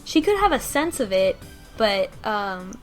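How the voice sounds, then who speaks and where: A woman's voice: medium pitch, big pitch swings, unhurried, faint music behind. A woman talking casually in a group discussion about a game, rambling with many restarts and fillers. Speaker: podcast co-host discussing a game.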